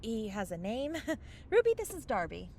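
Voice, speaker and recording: A woman's voice: medium pitch, wildly swooping pitch, moderate pace, a little mellow, slight background noise. A woman playing a sarcastic, exasperated young fairy in a mockumentary-style comedy audio drama, with dramatic, sardonic delivery. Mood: strained, polite.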